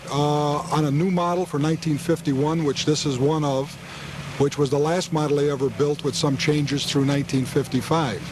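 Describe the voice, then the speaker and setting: A man's voice: a little high, steady tone, moderate pace. A man being interviewed outdoors as a guest, informally explaining history. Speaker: guest, car club member. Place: outdoors in a parking lot. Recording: noisy outdoor location sound with vehicles.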